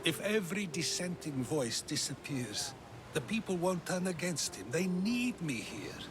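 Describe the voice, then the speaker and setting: A man's voice: a little high, very animated, measured pace. An actor playing an earnest, resolute, principled Athenian man in a theatrical video game cutscene. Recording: clear ambient noise behind.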